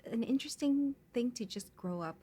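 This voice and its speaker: A woman's voice: on the high side, expressive, moderate pace, warm tone, a little hiss or hum. A woman born in Hong Kong and raised in Canada, speaking as a guest in an interview. She talks in an easygoing way with frequent fillers. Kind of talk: conversational interview.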